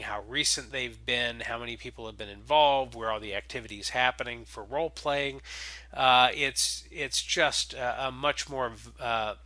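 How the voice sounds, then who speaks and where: Bright-voiced man hosting, halting delivery. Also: medium pitch, no echo. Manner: halting, hesitant phrasing with frequent filled pauses. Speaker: podcast host and game master. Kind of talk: casual spoken introduction to a role-playing audio log.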